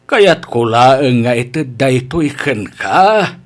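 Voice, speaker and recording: A man's voice: mid-range voice, animated, takes it slowly. A man playing an eager, smitten older uncle in a comedy radio drama. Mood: eager, wooing.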